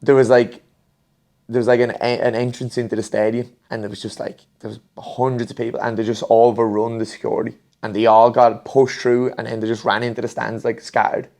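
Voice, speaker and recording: A man's voice: mid-range voice, little pitch movement, a little fast, vocal fry, darkish tone. A young man from the north of England co-hosting a football podcast. He rambles in casual chat, full of "like" and "do you know what I mean.". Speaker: podcast co-host.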